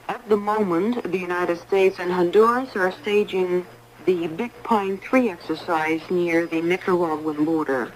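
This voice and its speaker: A woman reading a formal scripted radio news bulletin in a measured, impersonal way, on a 1980s shortwave broadcast. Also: lowish voice, poor audio. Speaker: newsreader.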